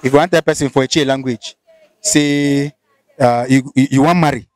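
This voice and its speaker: A man's voice: mid-range voice, fairly even intonation, moderate pace. A man with a Nigerian Pidgin accent interviewing someone, asking probing questions and repeating them. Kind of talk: casual street interview.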